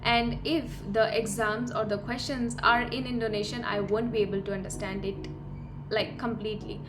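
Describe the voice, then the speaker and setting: A woman's voice: a little high, fairly even intonation, normal speed, background noise. A young woman, a university student, explaining in a conversational, advice-giving way for her vlog. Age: young adult. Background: loud background music.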